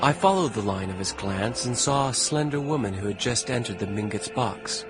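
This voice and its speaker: An actor playing a genteel, wistful young New York gentleman in an audiobook drama, speaking in a measured, formal and refined way. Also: fairly low pitch, expressive, close to an everyday voice, rough recording. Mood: wistful, reflective. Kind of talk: audiobook drama narration and dialogue. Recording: music behind the voice.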